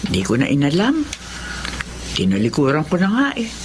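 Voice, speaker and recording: An actress with a Filipino accent playing a guarded, stern middle-aged housekeeper in a radio horror drama, secretive and admonishing. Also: female voice, deep voice, huge pitch swings, moderate pace, background noise. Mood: stern warning. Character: Aling Leoncia, guarded, stern older female housekeeper.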